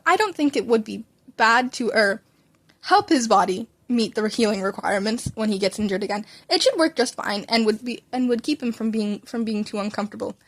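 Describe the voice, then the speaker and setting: Woman playing enthusiastic doctor, rambling explanations, shy and apologetic, fairly high voice, audiobook story. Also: a bit bright. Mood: helpful.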